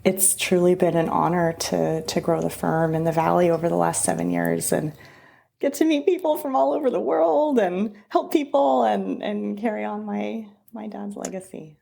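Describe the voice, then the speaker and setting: A woman's voice: medium pitch, normal speed, gravelly. A middle-aged woman from Southern California, an immigration attorney telling her story as an interview guest, with frequent restarts. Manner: warm storytelling with many restarts. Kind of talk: conversational interview.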